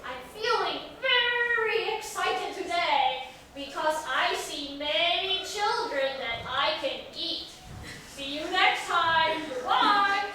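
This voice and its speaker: A woman's voice: extremely high pitch, animated, slow, sharp tone, slight background noise, full character voice. A woman voicing a polite, thousand-year-old male crocodile in a children's puppet show, speaking in simple, declarative sentences. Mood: cheerfully menacing. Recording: roomy, echoey classroom, distant microphone.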